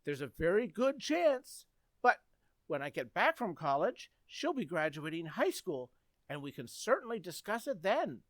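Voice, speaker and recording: Man playing a sheepish, earnest teenage boy, animated. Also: high voice, moderate pace, dry recording. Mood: polite, relieved. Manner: hesitant, stammering, sheepish. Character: Henry, earnest, flustered, polite teenage high school boy. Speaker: community theater actor. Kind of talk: old-time radio comedy acting.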